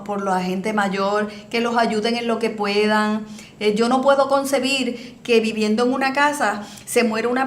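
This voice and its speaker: A woman in her sixties with a Puerto Rican accent, a guest answering interview questions earnestly, pausing on fillers. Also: a little high. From Puerto Rico. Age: 60s. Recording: echoey room, faint noise.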